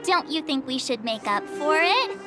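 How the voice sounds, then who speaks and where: A woman's voice: high voice, big pitch swings, normal speed, over loud music. A woman voicing a bubbly, pushy teenage adventurer girl in a video game, chirpy and coaxing. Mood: coaxing, insistent.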